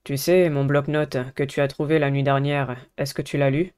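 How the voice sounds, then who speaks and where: Woman doing quiet polite teen boy singer, visual novel, deeper mellow voice, very understated. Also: little pitch movement, normal speed, smooth. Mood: discreet. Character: reserved, courteous teenage boy singer, absent-minded. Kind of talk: visual novel character reading.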